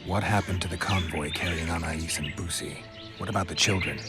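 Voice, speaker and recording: A gruff monster hunter played by a male actor from a fantasy video game. His voice is very low and gravelly. He talks in terse, deadpan, suspicious phrases over prominent music. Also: brisk, lightly acted. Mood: blunt. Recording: loud music behind the voice.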